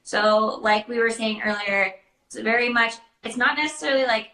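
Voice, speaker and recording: A woman's voice: on the high side, normal speed, pushed and bright. A young adult woman chatting casually as a host and answering questions. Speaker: host, princess party performer. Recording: roomy, echoing sound.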